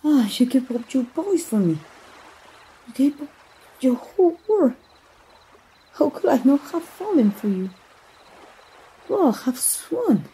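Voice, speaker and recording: A woman playing a tender, lovestruck young princess in a romantic ASMR roleplay, speaking softly, haltingly and with feeling. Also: high voice, sing-song, full character voice, poor audio. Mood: affectionate, teasing. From Mexico. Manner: halting, emotive, with gasps and sighs. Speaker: voice actor.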